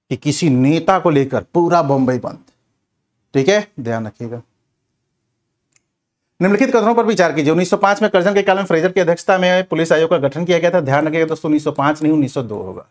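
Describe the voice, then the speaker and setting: Higher-pitched man teaching. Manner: instructive, repeats check phrases like a classroom teacher. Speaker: exam coaching teacher. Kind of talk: rapid question-and-answer revision lecture.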